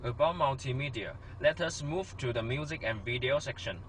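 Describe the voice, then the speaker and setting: A man's voice: mid-range voice, moderate pace, fairly bright tone, slight background noise. A man narrates a scripted product demonstration in simple, slightly unidiomatic phrasing. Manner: non-native English phrasing.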